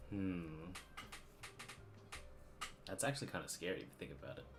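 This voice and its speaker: Woman game streamer, low voice, casual chatty commentary, swears casually, faint game music behind. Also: lively intonation, normal speed. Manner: casual, swearing, filler-heavy.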